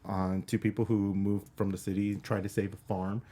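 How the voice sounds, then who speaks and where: Lower-voiced man, halting and plain-spoken. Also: little pitch movement, warm tone. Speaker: film critic panelist. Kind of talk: casual film review chat.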